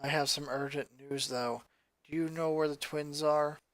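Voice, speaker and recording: A reader voicing a stoic, gloomy eight-year-old goth girl in a flat, hushed deadpan for a story. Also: medium pitch, moderate pace, no room sound, pitched below the performer's own voice, lightly acted. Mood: grave, flat.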